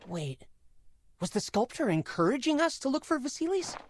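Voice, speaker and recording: An actor playing an earnest, idealistic young Spartan brother, loyal and expressive, in a video game drama. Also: male voice, very high voice, normal speed, slight background noise, exaggerated voice.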